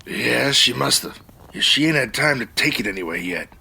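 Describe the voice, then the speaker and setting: A man's voice: mid-range voice, animated, moderate pace, vocal fry, sharp tone, slightly rough, exaggerated voice. A man acting a sly Gold Rush outlaw ringleader in a comedy audio drama. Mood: plotting.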